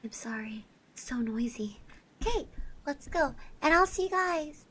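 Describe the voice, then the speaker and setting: Woman doing a shy sweet classmate girl for asmr roleplay, high voice, really slow n hesitant, stammers a bit. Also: animated. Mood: soft, friendly. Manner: hesitant, stammering, often dropping to soft murmurs and whispers. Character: shy, sweet schoolgirl classmate, grateful and bashful. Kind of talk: ASMR roleplay, addressed to the listener.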